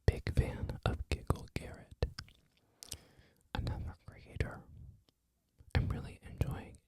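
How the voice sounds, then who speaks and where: An ASMR creator whispering a loose, rambling talk, full of "like" and "um" fillers. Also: takes it slowly. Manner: soft whisper throughout, frequent "like" and "um" fillers.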